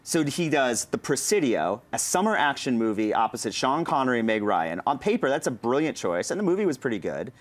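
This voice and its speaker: A man's voice: mid-range voice, very animated, brisk, hardly any pauses. A man chatting casually and giving his opinions as a panelist on a film talk show.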